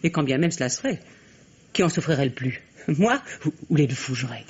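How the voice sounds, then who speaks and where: A woman's voice: deep voice, lively intonation, fairly quick. A spiteful, middle-aged French wife in a radio drama. She is biting, sardonic and dramatic. The recording is dull, with faint noise behind her. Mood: defiant. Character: bitter, spiteful wife, hateful and interrogating.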